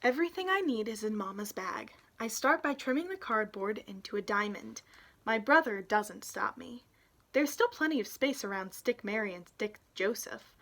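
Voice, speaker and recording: A young adult woman reading a picture book aloud expressively, in an animated way, for young listeners. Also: medium pitch, normal speed. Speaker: read-aloud storyteller, youth intern.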